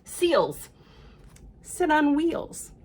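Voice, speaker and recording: A woman reading aloud plays a bossy male cat from a picture book who knows everything. He speaks slowly and emphatically, drawing out each pronouncement. The pitch is high and extremely animated. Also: heavily acted. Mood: emphatic, bossy. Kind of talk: children's storybook read-aloud.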